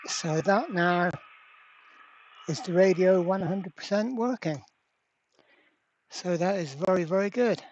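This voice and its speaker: A repair channel host giving a casual hands-on demonstration at a workbench, halting and thinking aloud while working. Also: mid-range voice, dull audio, slight background noise. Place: indoor workbench.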